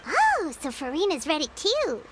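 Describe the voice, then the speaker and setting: A tiny, perky fairy sidekick in a video game. The squeaky childlike voice is extremely high and bounces around eagerly. Clear noise runs behind it. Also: female voice, wildly swooping pitch, normal speed, exaggerated voice. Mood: eager, pleading. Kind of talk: scripted video game voice acting.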